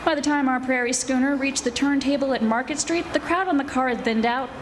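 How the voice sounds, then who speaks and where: A woman's voice: sits high, brisk, background noise, lightly acted. A woman playing a wry, breezy female private eye who narrates in 1940s radio detective style. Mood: sardonic, unruffled. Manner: wry, breezy, flirtatious deadpan. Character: wisecracking glamorous female private eye, former model. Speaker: radio theatre actor. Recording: live stage recording with music.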